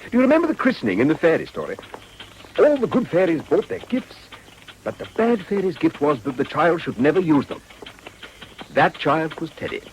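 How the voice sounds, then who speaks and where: A man playing a courtly, flattering upper-class gentleman suitor in a period drama, with florid, formal manners. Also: fairly high pitch, a little fast, a little hiss or hum, poor audio. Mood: earnest.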